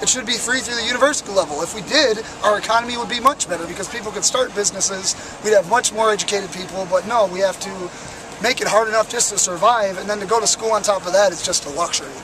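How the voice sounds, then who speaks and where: A young man with a raspy, worn voice, speaking earnestly and with passion as a protester in an outdoor street interview, with frequent fillers. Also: sits high, fast talker, crowd noise, rough recording. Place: outdoors on a city plaza by traffic.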